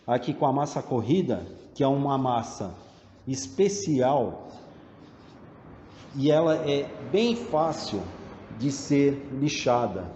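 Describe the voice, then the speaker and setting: A man with a Brazilian accent giving a hands-on tutorial in a deliberate, step-by-step explanatory way. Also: mid-range voice, warm tone. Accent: Brazilian Portuguese. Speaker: tradesman demonstrating door painting. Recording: dull, echoey, reverberant room with background noise.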